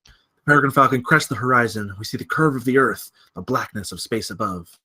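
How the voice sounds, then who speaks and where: A man's voice: medium pitch, a little fast, bright, forceful tone. A man narrating scripted stage directions at a film table read.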